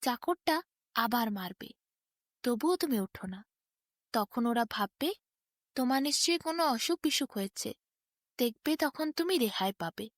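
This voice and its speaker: A woman's voice: fairly high pitch, animated, a little slow, pauses often, no room sound, studio quality. A woman voicing a crafty, sympathetic donkey who gives sly advice in a coaxing, instructive manner for a storybook tale.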